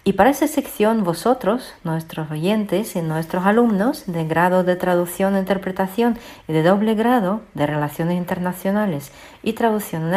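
A woman's voice: mid-range voice, a little mellow. A woman presenting a student radio segment as its host.

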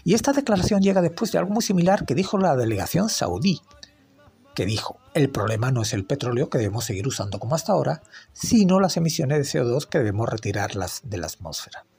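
A man's voice: mid-range voice, big pitch swings, fast, creaky, a little mellow, slightly rough. A man delivering a read opinion segment on environmental news, with dry irony and critical remarks. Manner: ironic, critical commentary. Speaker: environmental commentator.

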